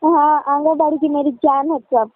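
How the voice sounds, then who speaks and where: A woman's voice: high-pitched, fairly even intonation, moderate pace, dry recording. A young woman, chatting casually and teasingly on a recorded phone call. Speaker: caller. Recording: tinny, band-limited phone call recording.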